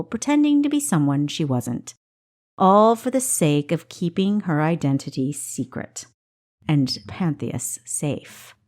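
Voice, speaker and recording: A woman reading a story as an audiobook narrator, with a deliberate, expressive storytelling delivery. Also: lowish voice. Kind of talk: expressive scripted fiction narration.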